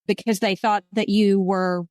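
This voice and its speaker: A woman hosting a podcast interview, mostly giving brief affirmations and gently probing questions. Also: medium pitch, fairly even intonation, measured pace, dry recording, studio quality. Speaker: podcast host.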